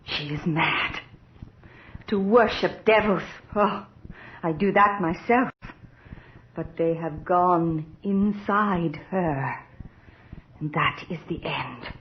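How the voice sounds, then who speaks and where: Scornful, slow-talking witch. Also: lowish voice. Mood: muttering. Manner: scolding, mocking, theatrical. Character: scornful, devil-worshipping old witch. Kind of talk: radio horror drama acting. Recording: dull, hissy vintage radio recording.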